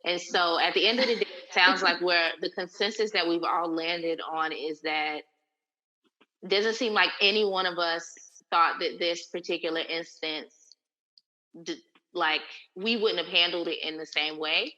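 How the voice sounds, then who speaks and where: A woman's voice: on the low side, normal speed, pushed and bright. A woman co-hosting a casual podcast discussion, earnestly explaining her view in long run-on sentences full of "like". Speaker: podcast co-host. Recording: dull lo-fi recording.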